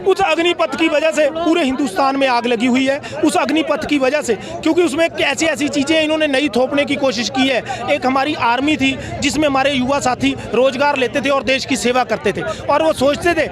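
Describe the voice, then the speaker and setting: A man's voice: very high-pitched, normal speed, few pauses. Earnest man addressing a street protest. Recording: crowd noise outdoors.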